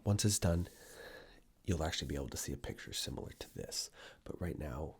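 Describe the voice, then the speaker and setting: A man doing soft-spoken ASMR, talking casually to the viewer in a hushed, breathy voice that often drops into a whisper. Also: a bass voice, animated, fairly quick. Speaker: ASMR video creator and host. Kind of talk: ASMR, soft-spoken personal ramble to camera.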